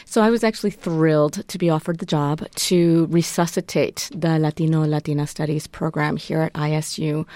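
A woman with a fairly low voice talks as a guest on a radio interview. She is a professor who was raised in the northeastern United States, and she explains her work thoughtfully with frequent ums. The sound is dry and close in a studio. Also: warm tone, dry recording.

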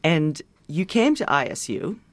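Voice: medium pitch, lively intonation, measured pace, dry recording. A radio talk show host introduces a studio guest and asks her questions in a plain, friendly manner.

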